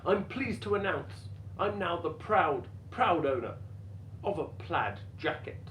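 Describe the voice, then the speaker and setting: A man performing a stand-up routine as a dramatic reading, deadpan and self-deprecating, with deliberate pauses. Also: a little high. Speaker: stand-up comedian. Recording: roomy echo with faint background noise.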